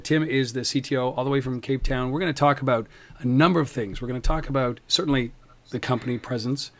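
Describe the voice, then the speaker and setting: A man hosting a podcast in casual chat, energetic, often repeating words as he starts a thought. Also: medium pitch. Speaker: podcast host.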